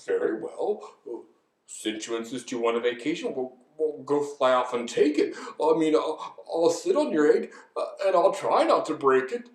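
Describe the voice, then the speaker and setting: A woman voicing a huge, gentle, faithful male elephant who speaks haltingly and earnestly in a children's story. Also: low voice, animated, echoey room, far from the mic. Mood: reluctant, hesitant.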